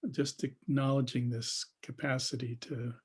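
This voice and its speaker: A man with a husky voice leading an unscripted guided meditation as a teacher, speaking haltingly with long pauses and trailing phrases. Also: mid-range voice, level tone, darkish tone.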